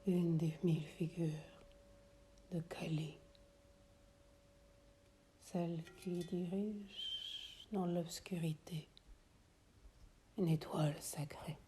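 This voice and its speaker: A woman teaching yoga nidra, guiding a meditation in a soothing, hushed, breathy delivery that often drops to a near whisper, with long pauses. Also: lowish voice, steady tone, a little hiss or hum. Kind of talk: guided meditation.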